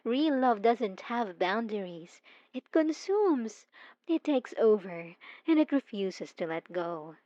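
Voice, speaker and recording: A woman playing an obsessive, possessive young stalker in an ASMR roleplay, talking softly and intimately with teasing giggles. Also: medium pitch, lively intonation, slow pace, dry recording, lo-fi sound. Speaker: narrator speaking to the listener.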